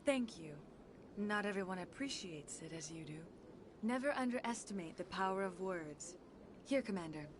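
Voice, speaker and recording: A woman playing a gracious, wise alien consort in a video game, speaking in a formal, measured, solemn way. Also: mid-range voice, lively intonation, background noise, natural voice. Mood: gracious, mysterious. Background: game music.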